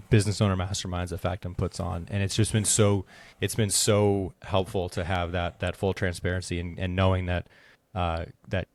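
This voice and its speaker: Low-pitched man hosting an interview, dry sound. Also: darkish tone, no room sound. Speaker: podcast host and insurance agent. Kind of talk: conversational interview.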